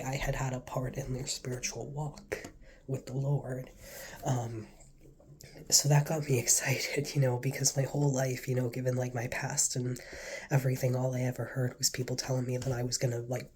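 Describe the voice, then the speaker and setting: Man, congested and stuffy, earnest rambling testimony, flat delivery, whispery at times. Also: mid-range voice. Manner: earnest, rambling, heavy with fillers. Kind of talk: casual spoken testimony to camera.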